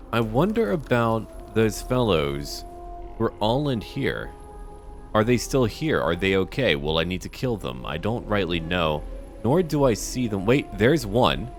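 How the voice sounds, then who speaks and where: A man hosting a roleplay gameplay video, recapping and musing aloud in a measured way as he plays. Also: on the low side, very animated, soft background music. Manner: measured, musing, talks to himself. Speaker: let's play host. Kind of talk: narrated roleplay gameplay commentary.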